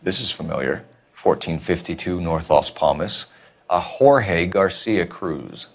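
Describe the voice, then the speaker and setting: An actor playing a stern, methodical 1940s police detective, pressing a suspect in clipped lines. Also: male voice, low-pitched, measured pace, creaky, exaggerated voice. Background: music score.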